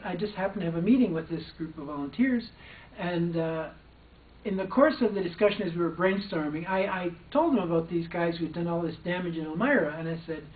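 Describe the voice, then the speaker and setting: An older man, a former probation officer, recounting a personal story in a hesitant way with frequent restarts. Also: sits high. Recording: distant, echoey, phone-like sound.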